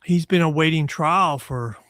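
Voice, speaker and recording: A man's voice: a little high, unhurried, a bit bright, no echo, clean studio sound. A man in his sixties from Texas, a guest attorney talking casually and with self-deprecating humor in an interview. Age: 60s.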